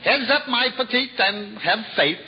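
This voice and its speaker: A humble, cheerful wandering juggler, chattering earnestly and stumbling over his words when flustered. His voice sits high and swings widely in pitch. It comes from a dull, hissy old radio recording. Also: lively intonation, unhurried, over music. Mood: hopeful. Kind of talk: 1950s radio drama acting.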